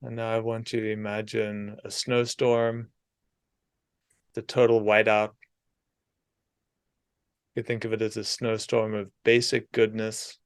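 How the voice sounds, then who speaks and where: A man leading a guided meditation in a soothing, unhurried manner, leaving long pauses between short phrases. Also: fairly low pitch, monotone, smooth, no room sound, clean studio sound.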